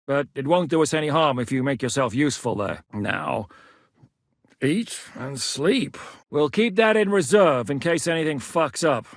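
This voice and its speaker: A battle-hardened knight commander from a game, blunt and commanding, swearing freely. His voice sits fairly high and rises and falls in wide, lively swings. The recording is close and completely dry. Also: moderate pace, dry recording. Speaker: game character voice lines. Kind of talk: game voice acting.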